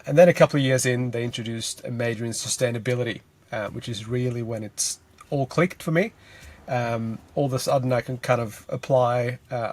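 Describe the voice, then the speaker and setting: A Swedish man in his thirties, a guest on a podcast, answering thoughtfully with lots of fillers while he sits in a parked car. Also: mid-range voice. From Sweden. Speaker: guest, energy consultant. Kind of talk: casual interview answers. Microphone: podcast mic on a remote call.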